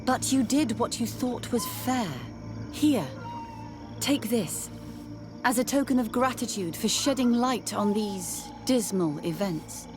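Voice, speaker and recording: Earnest, high-voiced religious sister. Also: female voice, slow pace, music in the background. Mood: sorrowful. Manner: expressive, formal, emotional. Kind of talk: dramatic video game acting.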